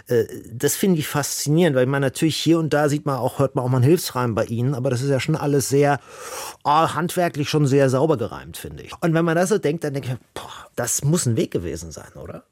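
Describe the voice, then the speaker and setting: A man hosts a music programme in a medium-pitched voice. He talks conversationally and offers his own views as he goes. The sound is very dry and close. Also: lively intonation, fairly quick, a little mellow, no room sound. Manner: conversational, opinionated, thinking aloud. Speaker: radio music show host and interviewer. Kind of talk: radio interview with spoken introduction.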